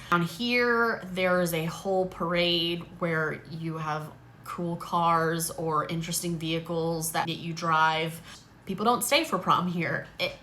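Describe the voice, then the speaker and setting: Chatty, bright-voiced New woman. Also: lowish voice, a little hiss or hum. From New York. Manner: chatty and candid, laughs often. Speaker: photographer and content creator. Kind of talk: casual Q&A to camera.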